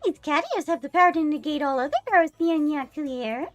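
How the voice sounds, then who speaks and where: A woman's voice: high voice, a little fast, faint music behind, lightly acted. A woman voicing a cutesy, playful cat-ear maid girl from a visual novel. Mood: playful boastful.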